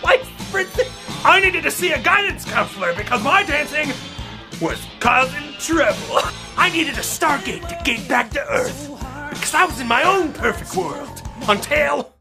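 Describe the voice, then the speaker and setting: Young woman spinning a goofy story, high bright voice, unhurried, soft music under it. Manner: animated, bursts into shouts and laughter. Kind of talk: comic personal storytelling.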